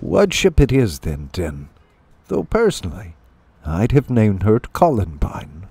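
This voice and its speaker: A hearty, roguish sailor mouse from a storybook , acted by a man. He banters in a jaunty, easygoing way, his pitch moving freely, recorded dry. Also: very animated, unhurried, creaky, mellow, breathy edge, no room sound, exaggerated voice. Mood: easygoing, wry. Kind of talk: storybook character voice.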